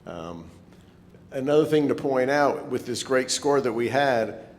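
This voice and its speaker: A man's voice: lively intonation, unhurried. Man presenting in an echoey hall. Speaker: club presenter. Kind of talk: informal club presentation. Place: meeting hall. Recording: echoey hall, rumbling background noise.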